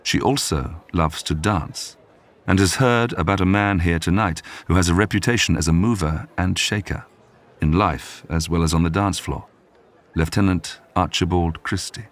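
A man narrating a history podcast from a script in a measured storytelling manner. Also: low-pitched. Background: music.

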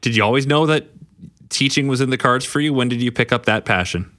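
A man hosting a sports radio show who asks brisk, friendly questions. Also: mid-range voice, pushed and bright, dry recording, studio quality.

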